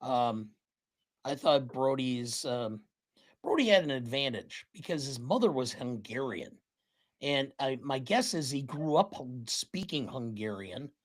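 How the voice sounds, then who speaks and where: Podcast co-host, slow and halting, fills pauses with ums and uhs. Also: mid-range voice, expressive. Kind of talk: casual chat.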